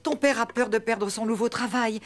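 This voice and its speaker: A woman's voice: fairly high pitch, fairly even intonation, moderate pace, close to an everyday voice. A woman playing a patient, weary mother in a French cartoon, reasoning and placating. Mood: pleading.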